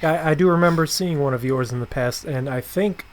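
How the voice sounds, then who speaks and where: A man hosting a podcast in casual conversation, rephrasing haltingly as he goes. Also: fairly high pitch, low-quality recording. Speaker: podcast host. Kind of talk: casual interview chat.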